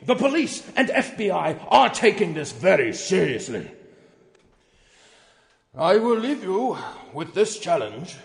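A man's voice: high-pitched, big pitch swings, unhurried, creaky, long pauses, exaggerated voice. A man voicing a pompous, outraged company executive in a theatrical dramatic reading of threatening emails. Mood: stern menace. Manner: pompous, overwrought, swinging from hushed to shouting. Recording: long echoing hall reverb.

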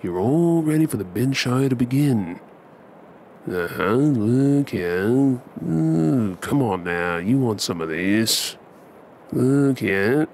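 An Australian man performing an ASMR bedtime roleplay as an affectionate, cheeky young husband and dad, coaxing and hushed. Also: mid-range voice, expressive, slow, slight background noise. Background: ambient sound effects.

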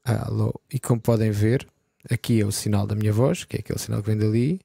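A man talking through a software tutorial step by step over a screen recording, in a relaxed explaining way. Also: on the low side, fairly quick, gravelly, husky, no room sound, clean studio sound, soft background music. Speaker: tutorial host.

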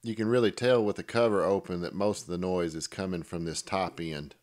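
A man's voice: deep voice, steady tone, brisk, heavy background noise. A man narrating a casual how-to tool review in a plain-spoken, practical way. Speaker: hobbyist product reviewer.

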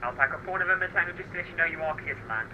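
Quick clipped controller over radio. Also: male voice, fairly high pitch, animated, muffled recording. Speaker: air traffic controller. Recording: radio transmission with background noise.

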